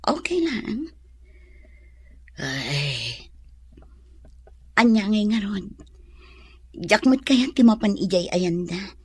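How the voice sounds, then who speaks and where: A woman's voice: mid-range voice, very animated, extremely slow, pauses often, breathy edge, dry recording, exaggerated voice. An actress with an Ilocano accent playing a weary but determined young mother, emotional and sighing, in a radio melodrama. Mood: weary, resolute. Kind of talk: melodramatic Filipino radio drama acting.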